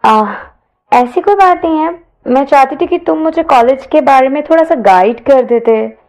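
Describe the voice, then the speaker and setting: Woman playing an eager, chatty college girl, high-pitched. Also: expressive, normal speed, darkish tone. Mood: chatty, gossipy. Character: eager, curious, chatty young female college student. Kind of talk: audio story character dialogue.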